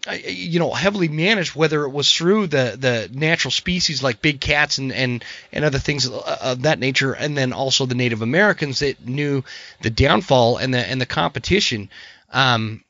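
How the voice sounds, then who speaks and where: A man hosting a podcast, hoarse from a cold, talking heatedly and swearing in casual chat. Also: medium pitch, moderate pace, bright, forceful tone, no echo. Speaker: podcast host. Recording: dry, muffled podcast audio.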